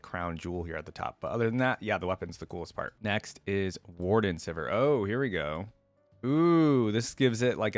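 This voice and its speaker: A man's voice: deep voice, dry recording. A man giving casual, informal reaction commentary, talking in a slangy, enthusiastic way as the host.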